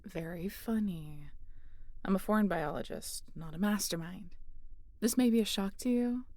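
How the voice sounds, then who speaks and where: A woman's voice: lowish voice, darkish tone. A woman playing a guarded, sarcastic starship captain and biologist in a sci-fi audio drama, dry and often muttering. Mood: sarcastic, irritated. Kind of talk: scripted sci-fi audio roleplay.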